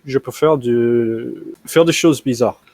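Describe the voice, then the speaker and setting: A young American man, a native English speaker, chatting in halting French as a language learner over a video call, with frequent fillers and restarts. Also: mid-range voice, darkish tone. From United States. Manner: hesitant, many fillers and restarts. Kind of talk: casual conversation practice.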